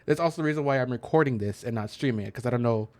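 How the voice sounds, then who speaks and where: A man's voice: mid-range voice, rapid-fire, a little mellow, no room sound. A man doing casual let's play commentary, explaining things in a hesitant, rambling way into a close mic. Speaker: gaming content creator.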